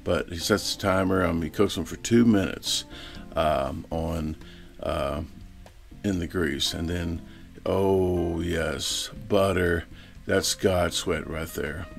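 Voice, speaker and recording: A man's voice: low-pitched, takes it slowly, vocal fry, soft background music. A man narrating a food review as a casual voice-over, rambling and laid-back, full of ums and uhs.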